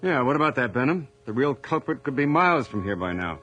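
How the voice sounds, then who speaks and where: A man playing a gruff, wry small-town police chief in a radio drama, brisk and dryly commanding. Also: medium pitch, sing-song, a bit bright, highs cut off, faint music behind. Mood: dry, probing.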